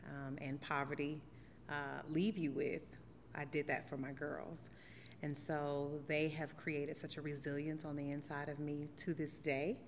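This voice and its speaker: A woman in her forties from St. Louis, Missouri, recalling her life in an oral history interview in a steady, reflective way with frequent ums. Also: deep voice, big-room sound, a little hiss or hum. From St. Louis, Missouri, USA. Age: 40s. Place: theater venue.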